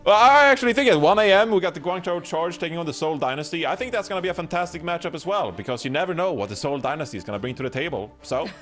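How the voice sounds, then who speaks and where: Animated man giving esports analysis. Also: fairly high pitch, very fast, hardly any pauses. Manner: animated, emphatic. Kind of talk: live esports desk analysis. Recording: music bed behind.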